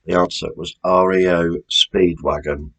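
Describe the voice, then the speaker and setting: A man's voice: low-pitched, fairly even intonation, unhurried, fairly bright tone. A British man presenting a quiz in a chatty, informal way, as the host reading out questions.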